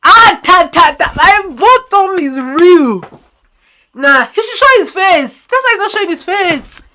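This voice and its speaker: A young Nigerian woman with a Nigerian accent, chatting with strangers on a video app and reacting on her phone, loud and laughing. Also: very high voice, sing-song, unhurried. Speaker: vlogger. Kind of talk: casual video chat commentary.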